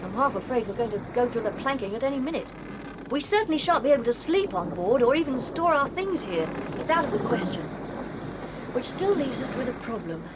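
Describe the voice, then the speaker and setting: Woman playing a bossy earnest schoolboy leader, high and bouncy, loud tape hiss. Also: very animated, fairly quick. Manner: earnest, bossy, takes charge. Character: sensible boy, eldest leader of children. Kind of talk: 1980s audio drama acting. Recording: hissy old cassette tape.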